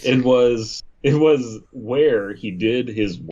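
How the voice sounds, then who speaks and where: A man's voice: medium pitch, slow pace, dark tone, highs cut off, slight background noise. A man hosting a comedy podcast, reading a story aloud with casual joking asides. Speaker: podcast host reading a comic horror story.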